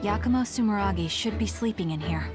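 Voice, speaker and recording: An actress playing a calm, resolute young woman fighter in a video game, with a cool, matter-of-fact delivery. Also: mid-range voice, a little fast, music in the background, lightly acted. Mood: serious.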